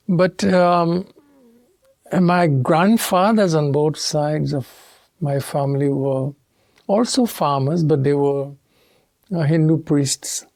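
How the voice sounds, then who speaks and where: A man from Trinidad and Tobago, a theologian speaking as an interview guest in a measured, thoughtful way with pauses and restarts. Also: a little high, creaky, a little mellow, dry recording. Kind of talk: reflective interview conversation.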